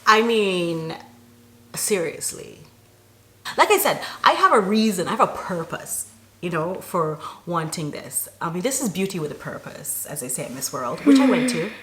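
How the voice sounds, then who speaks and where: A woman from Barbados telling a personal story to camera as a vlogger, in an animated, chatty and emphatic way. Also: fairly low pitch, moderate pace, a little hiss or hum.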